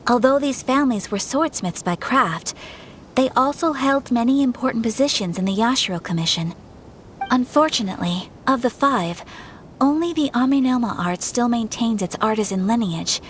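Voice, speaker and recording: A woman playing a poised, courteous young noblewoman in a video game, speaking in a formal, measured, polite way. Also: fairly high pitch, slight background noise, natural voice. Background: music.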